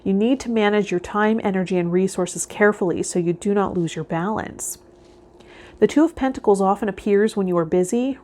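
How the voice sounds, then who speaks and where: Female tarot vlog host, medium pitch, measured and instructive, addressing viewers directly. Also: dark tone. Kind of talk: reading tarot card meanings aloud, then casual guided talk to viewers.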